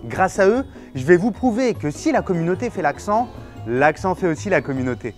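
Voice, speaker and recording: Lively male science presenter over music. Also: high-pitched, brisk. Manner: lively, explanatory, playful. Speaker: neuroscientist presenter. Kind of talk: narration and street interviews.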